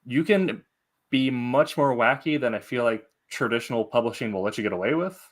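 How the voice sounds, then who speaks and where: A man hosting a casual interview, phrasing his questions in a hesitant, halting way. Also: mid-range voice. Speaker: interviewer and show host.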